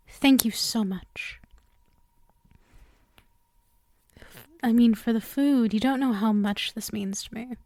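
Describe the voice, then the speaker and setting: Woman playing a slow, soft-spoken lonely demon girl. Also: on the high side, no echo, natural voice. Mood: grateful. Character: lonely, coaxing female demon sealed in a cage. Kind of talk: intimate ASMR roleplay monologue.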